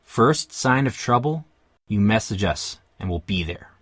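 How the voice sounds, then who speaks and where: A woman voicing a gruff, protective, massive male warrior with a husky voice in a fan audiobook. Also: rumbling low, lively intonation, a little slow, dry recording, clean studio sound, full character voice.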